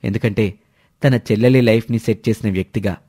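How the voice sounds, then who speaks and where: A man voicing a selfless young elder brother, explaining himself calmly and earnestly in an animated moral story. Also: on the low side, brisk, natural voice. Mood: earnest, resolved.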